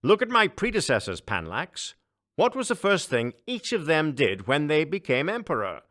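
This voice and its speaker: Man acting haughty alien emperor, booming high and loud. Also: huge pitch swings, moderate pace. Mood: scornful. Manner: imperious, condescending. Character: haughty, scheming alien emperor, jovially menacing, grandiose. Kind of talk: audiobook character voice.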